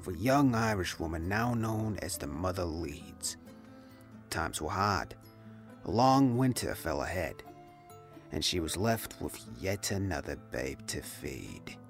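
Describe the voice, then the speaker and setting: Man acting a slow, gravelly legend presenter. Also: low voice, lively intonation, exaggerated voice. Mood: ominous, grave. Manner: ominous, theatrical, deliberate. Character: grave male presenter recounting a folk monster legend. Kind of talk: dramatic documentary-style scripted narration. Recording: music bed under the voice.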